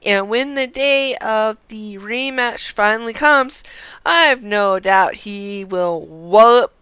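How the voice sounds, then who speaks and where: Woman reading a confident, bright-voiced guild fighter. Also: a little high, takes it slowly, clean tone, no echo. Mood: reassuring. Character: confident, reassuring martial-arts guild comrade.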